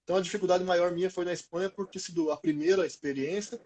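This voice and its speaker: A Brazilian man in his thirties talks casually in an interview, with a slightly high voice. His Portuguese is informal and full of slang, told like stories to friends. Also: steady tone, fast talker, a little mellow. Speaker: former professional footballer being interviewed.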